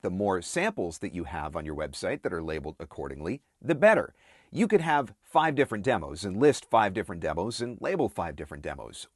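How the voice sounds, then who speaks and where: Male coach, slightly low voice, dry close sound, highly animated and emphatic advice delivery. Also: no echo. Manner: emphatic, repeats words for stress. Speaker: voice-over marketing coach and video host. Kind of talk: direct-to-camera advice talk.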